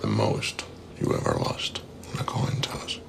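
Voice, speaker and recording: An actor playing a calm, menacing killer, speaking in a deliberate, half-whispered deadpan in a film scene. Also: male voice, natural voice. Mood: quiet menace. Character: calm, menacing killer toying with his victims. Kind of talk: film acting. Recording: noisy film clip.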